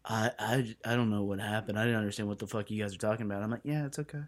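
Fast-talking jokey podcast host, man. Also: fairly low pitch, steady tone. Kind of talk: casual interview chat.

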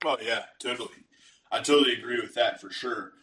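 A man's voice: medium pitch, a little fast, bright, forceful tone. A man from the Pittsburgh area hosting a podcast, chatting casually and agreeably with frequent ums and restarts. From Pittsburgh area, United States. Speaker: podcast host. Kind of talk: casual interview chat. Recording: roomy, distant mic.

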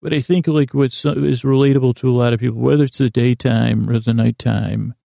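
A man hosting a bedtime podcast with an improvised, halting monologue, meandering into tangents and self-deprecating corrections. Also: medium pitch, fairly even intonation, gravelly, mellow, no echo, studio quality. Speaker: podcast host.

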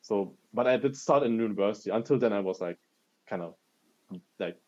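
A man from Germany, a guest on a casual podcast, speaking in a measured, thoughtful way with many fillers. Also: fairly low pitch, darkish tone. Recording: dull lo-fi sound.

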